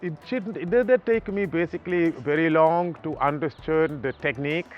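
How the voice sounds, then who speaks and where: Halting Senegalese man with soft music. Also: on the high side, highs cut off. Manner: halting, many pauses and fillers. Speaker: artist. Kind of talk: documentary interview.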